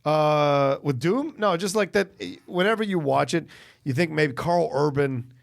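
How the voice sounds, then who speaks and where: A man's voice: on the high side, a little slow, vocal fry. A man co-hosting a casual film podcast, talking in an informal, slangy and sometimes sweary way. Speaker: podcast co-host reviewing films.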